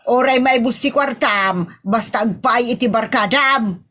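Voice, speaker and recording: A woman playing an exasperated, sharp-tongued wife and mother in a comedy audio drama, scolding with pointed questions. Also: on the high side, measured pace.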